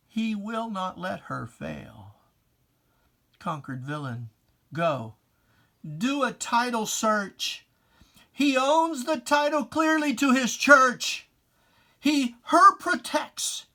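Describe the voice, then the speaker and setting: A person giving a dramatic, solemn reading of religious verse, declaiming emphatically with deliberate pauses and archaic preacherly diction. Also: mid-range voice, sharp tone. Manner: archaic preacherly diction, addressing a foe directly.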